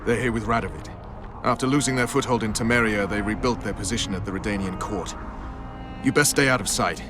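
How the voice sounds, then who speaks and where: Man playing a low-voiced, grim veteran commander. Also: fairly quick, lightly acted. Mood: businesslike. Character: grim, world-weary male military commander, cynical and proud. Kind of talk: video game voice acting. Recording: music behind the voice.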